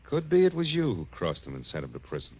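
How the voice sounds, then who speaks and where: A man's voice: medium pitch, wildly swooping pitch, fast, husky. A man playing a tough, wry, world-weary private detective in clipped 1940s radio style. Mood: weary, resigned. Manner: hardboiled, wry, clipped wisecracking. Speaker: lead actor and narrator. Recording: muffled old radio recording.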